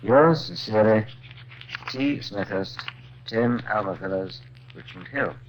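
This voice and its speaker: A man's voice: fairly low pitch, measured pace, slight background noise, natural voice. Formal male doctor played by an actor, muffled old radio. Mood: grave, formal. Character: smooth-talking Victorian doctor, secretive and falsely soothing. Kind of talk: 1950s radio drama acting.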